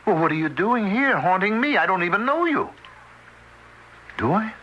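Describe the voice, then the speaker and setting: An actor in an old-time radio drama playing a harried, exasperated husband, excitable and incredulous. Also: male voice, high-pitched, moderate pace, dull audio. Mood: startled, incredulous. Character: harried, exasperated husband narrating a ghostly encounter. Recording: noisy, thin old radio recording.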